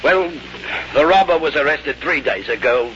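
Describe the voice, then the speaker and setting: An actor in a 1950s radio drama playing an officious detective with a formal manner. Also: male voice, a little high, normal speed, a bit bright, lightly acted. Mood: sheepish, apologetic. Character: officious detective making an arrest. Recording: muffled old radio recording, faint noise.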